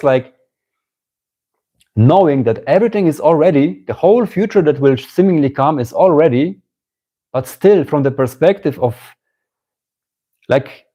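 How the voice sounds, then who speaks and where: Man, German accent, mellow dark voice, mid pitch, slow musing spiritual talk, repeats phrases. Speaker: spiritual teacher. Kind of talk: live-stream spiritual talk.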